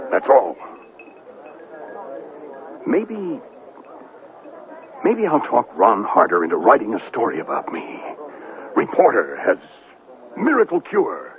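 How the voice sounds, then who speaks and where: An actor playing a boastful, manipulative TV reporter in a radio drama, cajoling and self-important. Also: male voice, deep voice, background noise, full character voice. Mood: scheming, proud. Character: boastful, manipulative male TV reporter pleading with his ex. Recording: tinny narrowband radio broadcast, music behind.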